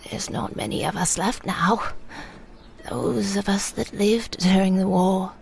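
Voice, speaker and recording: Frail, dying old woman, played by an actress, reflective and hushed, unhurried pace, gravelly edge, for intimate radio play. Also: mid-range voice, steady tone, a little hiss or hum. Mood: hushed, reminiscing. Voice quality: breathy, whispery, creaky. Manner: slow, halting, reflective storytelling. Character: frail elderly dying mother recalling wartime childhood. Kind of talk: intimate audio drama monologue.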